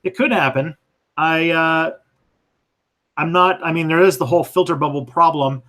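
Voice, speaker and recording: A man's voice: on the high side, fairly even intonation, normal speed, a bit bright. Hesitant man answering stream questions. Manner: thoughtful, hesitant, many fillers and restarts. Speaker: history channel host answering viewer questions. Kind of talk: casual live-stream Q&A.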